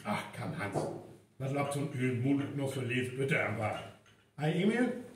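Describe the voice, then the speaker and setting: A man's voice: medium pitch, expressive, a little slow, distant mic, close to an everyday voice. A man with a North Frisian accent playing a village man in a live radio play. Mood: bantering. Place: reverberant venue, distant microphone. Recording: very echoey live recording, faint noise.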